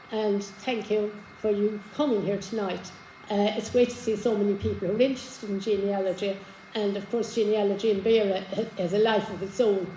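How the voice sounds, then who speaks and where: A hoarse woman giving an informal genealogy talk to a live audience, chatty, with many fillers and restarts. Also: medium pitch, dark tone, echoey room, a little hiss or hum, rough recording. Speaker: genealogy speaker. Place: community hall with an audience. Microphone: handheld microphone.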